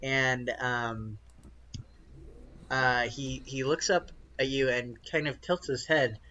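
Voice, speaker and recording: A man runs a tabletop game, improvising scenes in a casual, halting way. His voice sits at an average pitch, and the recording is slightly dull. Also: steady tone, pushed and bright. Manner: halting, casual, searching for words. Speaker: game master.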